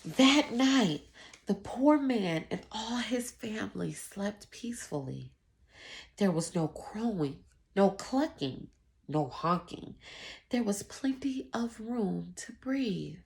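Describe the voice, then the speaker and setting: A woman reading a children's story aloud, with expressive narration and deliberate explanatory asides to the listeners. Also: mid-range voice, creaky. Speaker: children's read-aloud teacher.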